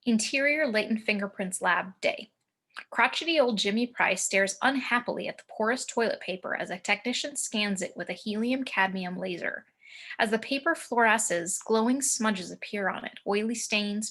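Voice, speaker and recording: A woman narrates a screenplay's action and scene headings. Her voice is very bright and forward, at an average pitch for a woman. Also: moderate pace. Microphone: home video call.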